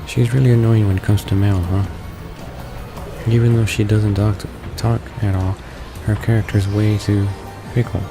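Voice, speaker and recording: A man's voice: fairly low pitch, level tone, moderate pace, music in the background, low-quality recording. A man reading visual novel text aloud as a let's play host, stumbling over words as he goes.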